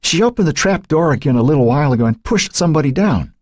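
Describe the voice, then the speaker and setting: A man voicing an injured, terrified teenage girl in an audiobook, tearful and pleading, with a choked, halting delivery. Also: a little high, animated, darkish tone, no echo, clean studio sound, pitched above the performer's own voice. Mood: shaken. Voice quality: breathy, choked. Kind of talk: audiobook character acting.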